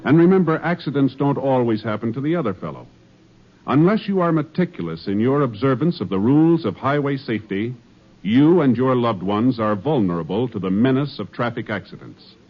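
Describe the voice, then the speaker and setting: A man's voice: mid-range voice, animated, fairly quick. A man announcing in formal, emphatic 1950s radio style, reading from a script. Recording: dull 1950s radio broadcast with music.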